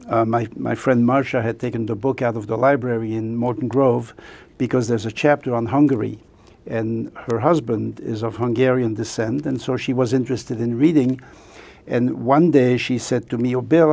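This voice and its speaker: An older man whose native language is French, a guest author speaking reflectively in an interview with many pauses and fillers. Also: medium pitch, creaky, a little mellow.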